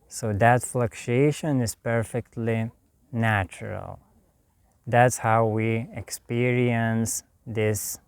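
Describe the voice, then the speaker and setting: A man from Lithuania with a Lithuanian accent, giving a calm, meditative spiritual talk in a slow, soft way with long pauses. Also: medium pitch, clear, resonant tone, no echo. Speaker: spiritual teacher.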